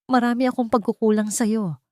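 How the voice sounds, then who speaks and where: A woman's voice: a little high, fairly even intonation, brisk, warm tone, no room sound, pitched above the performer's own voice, close to an everyday voice. A Filipino husband voiced by a woman narrator pleads with his wife in a tender, coaxing way. He is apologetic and sweet-talking, in a dry storybook recording. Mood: apologetic, pleading.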